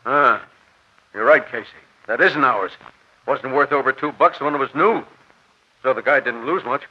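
A nervous store detective played by a man in a vintage radio crime drama. He speaks in hesitant, placating slang at a middle pitch. The old recording is muffled and faintly noisy. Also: normal speed, lightly acted. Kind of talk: 1940s radio acting.

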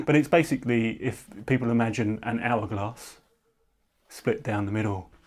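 A man's voice: lowish voice, normal speed, a little mellow. A man hosting a poetry podcast, chatty and rambling, thinking aloud as he asks questions. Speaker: podcast host. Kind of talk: podcast interview.

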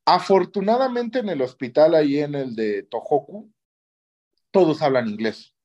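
A man's voice: on the high side, animated, moderate pace, darkish tone. Mexican man telling his story, muffled audio. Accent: Mexican Spanish. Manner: often ends phrases with a questioning tag. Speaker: interview guest, orthopedic surgeon. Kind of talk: conversational personal storytelling. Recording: dull lo-fi audio.

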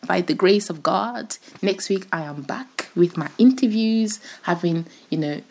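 A woman in her twenties hosting her own podcast, chatting casually and rambling with frequent little laughs, her voice sounding rougher and deeper than usual. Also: expressive, a little slow, a little mellow, a little hiss or hum. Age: 20s. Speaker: podcast host. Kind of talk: casual solo podcast chat.

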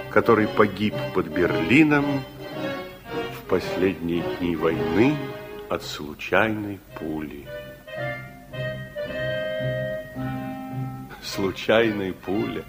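An actor playing an elderly, earnest war veteran reminiscing in a theatrical, declamatory 1960s radio-play style with deliberate pauses. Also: male voice, fairly low pitch. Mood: sorrowful. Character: elderly reflective war-veteran grandfather looking back on his life. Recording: 1960s radio drama recording with music.

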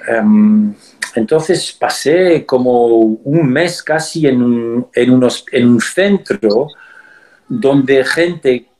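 Slow, thoughtful man being interviewed. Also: medium pitch, a little mellow, poor audio. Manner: thoughtful, halting, many pauses and restarts. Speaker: actor, interview guest. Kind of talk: reflective interview answers.

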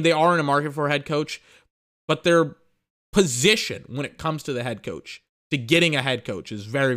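A young man with a smooth, resonant voice runs a sports podcast in loose, ranting commentary. He talks emphatically, circles back over his phrases and swears freely. He sounds a little tired. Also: medium pitch, sharp tone.

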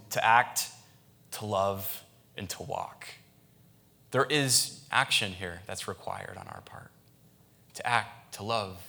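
A man's voice: mid-range voice, sharp tone. A man, a pastor, giving a sermon in a conversational style, with frequent restarts and fillers.

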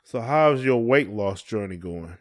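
A man's voice: fairly low pitch, expressive, measured pace. A man co-hosting a podcast, talking casually in folksy, colloquial phrasing. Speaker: podcast co-host. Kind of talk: casual conversation.

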